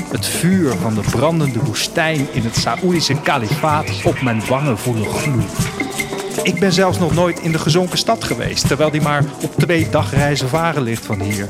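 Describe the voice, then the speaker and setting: A man acting as a restless dreamer from a future city, longing to see the world. He tells his story in an intimate, reflective first-person monologue for an audio drama. His pitch is mid-range, with prominent music underneath. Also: close to an everyday voice. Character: restless young dreamer of 2104 longing to travel.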